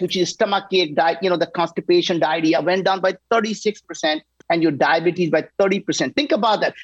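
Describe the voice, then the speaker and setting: Man, 60s, founder type, eager pitch, husky tone, muffled dry audio. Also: dry recording. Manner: energetic, emphatic, repeats "right?". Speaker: company founder guest. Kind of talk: enthusiastic pitch on a talk show.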